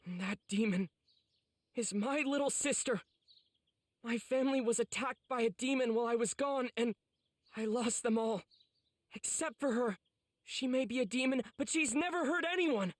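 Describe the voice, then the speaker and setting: A man's voice: extremely high pitch, moderate pace, long pauses, dry recording, clean studio sound. A man playing an earnest, devoted teenage boy swordsman in an anime game, heartfelt and pleading. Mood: desperate, earnest. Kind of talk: English anime game voice acting.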